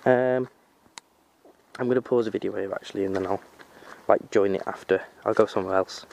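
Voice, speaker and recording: A man's voice: on the low side, fairly even intonation, brisk, gravelly, a little mellow, slight background noise. A young man from North Wales with a slight Manchester accent, chatting casually in a vlog, rambling and correcting himself as he goes. He is recording outdoors on a handheld camera. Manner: rambling, self-correcting, informal. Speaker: vlogger.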